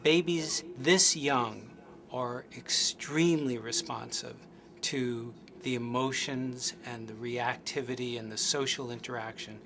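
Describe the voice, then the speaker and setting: A male researcher giving a measured documentary explanation of infant studies. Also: mid-range voice, fairly even intonation, vocal fry. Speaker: developmental researcher. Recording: clip with crowd noise behind.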